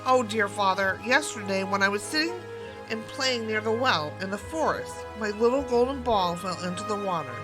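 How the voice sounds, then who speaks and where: A woman's voice: mid-range voice, animated, moderate pace, music in the background, natural voice, low-quality recording. A woman voicing a spoiled, disdainful young princess in a storybook fairy tale, petulant and dramatic. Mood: anxious.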